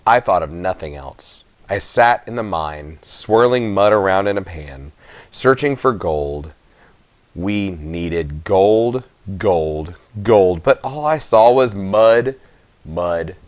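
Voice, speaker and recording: A man giving an expressive, dramatic children's audiobook narration, with emphatic pauses. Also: lowish voice, no echo. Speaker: story reader.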